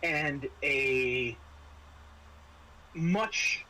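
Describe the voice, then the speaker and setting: A man working as game master, improvising horror narration in a halting, conversational way. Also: mid-range voice, sharp tone, slight background noise, poor audio.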